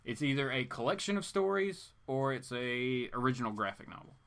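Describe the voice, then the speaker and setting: A man's voice: mid-range voice, lively intonation, a little fast, vocal fry, a bit bright. A man hosting a live stream in an informal, rambling chat, with playful asides that swing between exclaiming and muttering. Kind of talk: casual rambling chat, reading viewer comments.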